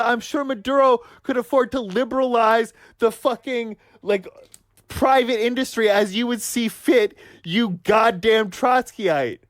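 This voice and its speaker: A man co-hosting a sports talk podcast who argues heatedly and swears constantly, ranting at his brother with forceful conviction. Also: very high voice, no room sound. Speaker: podcast co-host.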